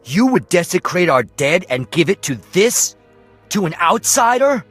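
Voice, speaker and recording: A man's voice: high voice, very animated, measured pace, a bit bright, breathy edge, soft background music, exaggerated voice. A man playing a proud, honor-bound alien warrior in a video game, formal, accusing and defiant. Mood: outraged.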